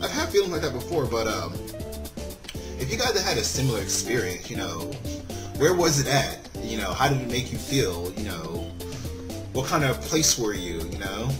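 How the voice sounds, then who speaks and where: A man's voice: medium pitch, very animated, moderate pace. A man reading a visual novel aloud and commenting casually, often stumbling over lines and correcting himself. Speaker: video game let's-play host. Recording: music playing behind the voice. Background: game background music.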